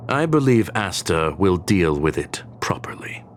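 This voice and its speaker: A man's voice: low voice, big pitch swings, unhurried, slight background noise. A man voicing a courteous, coolly logical robot genius, formal and precise, in a video game cutscene. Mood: courteous, measured. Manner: formal, precise, clipped one-word prefaces.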